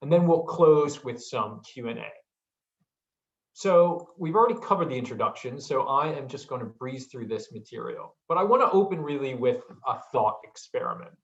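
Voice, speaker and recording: A man's voice: medium pitch, expressive, moderate pace, vocal fry. American guy running a zoom workshop, lots of ums, kinda dull lo-fi sound. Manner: hesitant with frequent ums, asks the audience questions. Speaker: workshop presenter. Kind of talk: online workshop talk. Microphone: video call.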